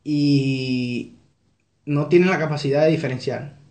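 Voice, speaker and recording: A man's voice: a little high, a little slow, darkish tone, echoey room. A young man from Venezuela with a Venezuelan accent, answering interview questions in an earnest, reflective way. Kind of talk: conversational interview.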